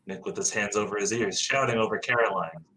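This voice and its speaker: A man's voice: on the low side, fast talker, sharp tone. A man hosting a podcast table read, switching between casual chat and narrating stage directions.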